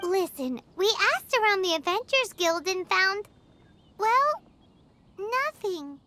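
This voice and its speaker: Squeaky, excitable little fairy girl. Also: slow, noisy background, exaggerated voice. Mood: eager. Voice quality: squeaky, childlike. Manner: chirpy, exclamatory, refers to self in third person. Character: tiny excitable floating fairy companion, childlike girl. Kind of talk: video game voice acting. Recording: loud music behind the voice. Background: game music.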